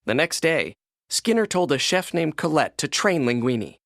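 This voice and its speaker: A man narrating a children's read-along storybook in an expressive, scripted storytelling manner. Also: on the high side, normal speed, gravelly, dry recording, clean studio sound.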